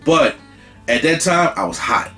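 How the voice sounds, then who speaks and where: A man hosts a movie review in a loose, rambling way. He leans on slang and swears now and then. His voice sits a little high, with soft guitar music playing under it. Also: expressive, a little slow. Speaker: movie reviewer, channel host. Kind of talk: casual spoken movie review.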